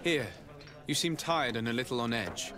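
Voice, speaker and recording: Composed noble diplomat, mid-pitched male actor. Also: a crowd in the background, natural voice. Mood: polite, probing. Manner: measured, formal, authoritative. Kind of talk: video game cutscene acting.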